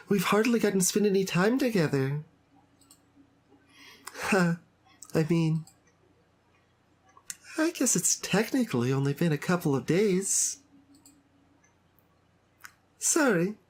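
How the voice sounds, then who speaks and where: A woman playing a sweet, earnest high school club president in a visual novel, speaking in a gentle, hesitant, confiding way. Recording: music behind the voice. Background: background game music.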